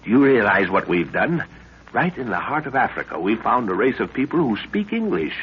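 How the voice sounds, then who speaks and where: An actor playing an authoritative older professor leading a jungle expedition in 1930s radio style, commanding and sometimes grandly archaic. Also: male voice, mid-range voice, big pitch swings, a little fast, lightly acted. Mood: excited, cautious. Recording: muffled, noisy 1930s radio recording.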